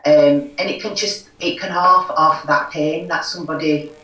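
A woman's voice: low-pitched, steady tone, moderate pace, fairly bright tone. A middle-aged woman with a broad Yorkshire accent, talking frankly and earnestly as an interview guest on a video call, full of "you know". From Yorkshire, England. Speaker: guest, recovery forum chair. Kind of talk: conversational interview. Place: home room. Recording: echoey room, far from mic.

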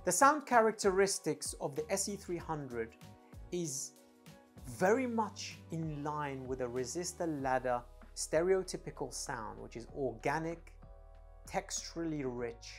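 A man in his thirties giving a product review to camera, with emphatic, deliberate phrasing and pauses. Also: a little high, lively intonation, fairly bright tone, soft background music. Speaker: audio gear reviewer. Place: dim indoor home studio room. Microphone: lapel clip-on mic.

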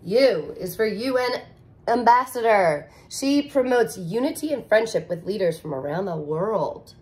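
Woman teacher reading a kids' book real slow, bouncy sing-song voice. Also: medium pitch. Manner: expressive, sing-song storybook reading with soft asides. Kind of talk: picture book read-aloud for young children. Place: living room with couch, indoors.

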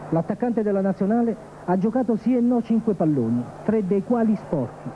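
A man's voice: high voice, expressive, fairly quick. A man reading a scripted football match report on an old television broadcast. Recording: muffled, noisy archive TV broadcast.

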